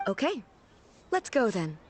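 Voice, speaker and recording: Dignified, curious goddess ruler, expressive voice. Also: female voice, on the high side, a little slow, slight background noise. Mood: baffled, earnest. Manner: formal, composed, earnest phrasing. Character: dignified, curious, literal-minded female deity ruler. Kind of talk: video game voice acting.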